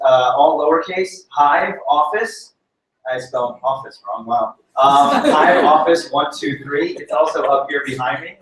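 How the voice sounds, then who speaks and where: A man's voice: a little high, a bit bright. A young man emceeing an event, chatty and self-deprecating, laughing at his own slip-ups. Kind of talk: casual event emcee announcements. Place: large echoey event space. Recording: echoey hall, voice from far off.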